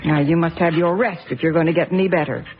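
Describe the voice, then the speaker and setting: A woman's voice: on the low side, fairly even intonation, quick pace, noisy background, lightly acted. An actress playing a worried mother in a radio drama, firm and scolding but caring toward her sick son. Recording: dull lo-fi old radio recording.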